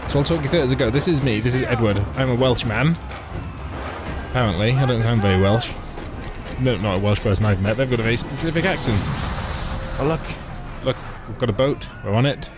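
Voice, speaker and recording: A man's voice: medium pitch, fairly quick. Sarcastic man talking over game music. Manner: wry, mocking, chatty asides. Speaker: let's play host. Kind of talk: sarcastic gameplay commentary.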